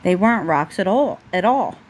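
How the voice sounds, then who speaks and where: A woman's voice: medium pitch, animated, moderate pace. A woman reading a children's storybook aloud at home, as a parent would at bedtime. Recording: faint background noise, home recording.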